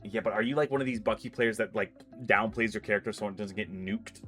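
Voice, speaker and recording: A man's voice: on the low side, steady tone, fast talker, a bit bright, soft background music. A man in his late twenties hosting a casual gaming chat, asking questions and repeating his phrases. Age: late 20s. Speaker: host leading a tier list discussion.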